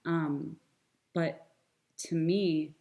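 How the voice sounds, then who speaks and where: A woman's voice: low-pitched, steady tone, extremely slow, warm tone, muffled recording. A young woman from the United States, speaking as a guest in an earnest, thoughtful panel conversation, with frequent fillers and restarts.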